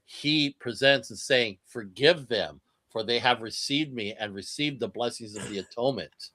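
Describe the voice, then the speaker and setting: A man's voice: medium pitch, normal speed, bright, forceful tone. A man explaining religious doctrine in an earnest, emphatic way on a livestream discussion. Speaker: co-host.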